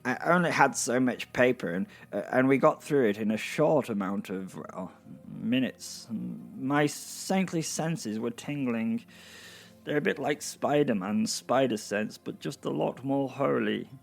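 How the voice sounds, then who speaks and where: A man's voice: mid-range voice, lively intonation, measured pace, gravelly. A man playing a nervous, self-important gate attendant of heaven in a comic fantasy audio drama, with a hesitant, stammering delivery. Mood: confiding, prim. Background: music.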